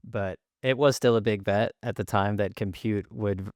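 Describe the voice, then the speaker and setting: A man's voice: fairly low pitch, fairly even intonation, normal speed, dry recording, studio quality. A man hosting a podcast, responding conversationally to his guest. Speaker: podcast host.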